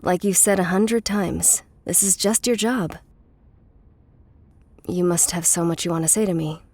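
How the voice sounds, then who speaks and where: A woman playing a guarded, intense runaway from a cult family in a horror audio drama, speaking with deliberate care. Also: fairly low pitch, darkish tone, husky, no room sound. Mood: challenging. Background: music.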